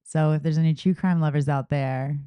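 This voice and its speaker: A woman's voice: sits low, fairly even intonation, normal speed, warm tone, clean tone, no room sound, dull audio. A woman hosting a casual podcast, chatting in a playful, slangy and dramatic way. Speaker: podcast host.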